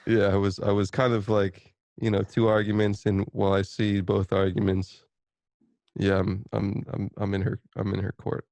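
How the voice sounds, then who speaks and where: A man's voice: fairly low pitch, monotone, normal speed, creaky, darkish tone, no room sound. A man co-hosting a podcast in casual chat, hesitant and rambling, repeating himself and swearing casually. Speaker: podcast co-host.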